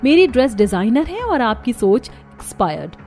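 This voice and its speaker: A woman's voice: fairly high pitch, big pitch swings, normal speed, a little mellow, faint music behind. A woman voicing a spoiled, brash young modern daughter-in-law in a cartoon story, haughty and demanding, mixing in English words. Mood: angry, indignant. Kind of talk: Hindi cartoon story dialogue.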